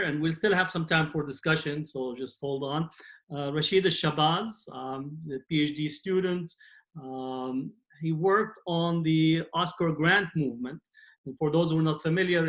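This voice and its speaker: A man's voice: a little high, fairly bright tone. A man moderating a panel in an informal way, linking remarks and introducing speakers.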